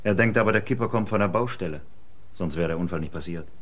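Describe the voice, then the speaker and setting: A police investigator in a radio crime drama. The voice is low, with a brisk, explanatory, matter-of-fact delivery. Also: male voice, fairly bright tone. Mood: explaining.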